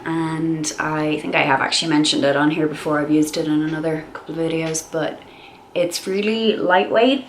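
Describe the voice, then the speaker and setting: A young woman chats on while she does her makeup, her voice stuffed up and nasal from a cold. She rambles with plenty of ums and likes, in a slightly low register. The room is echoey, with a faint hum underneath. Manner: chatty and rambling, many fillers. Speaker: beauty vlogger. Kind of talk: casual chat while doing makeup.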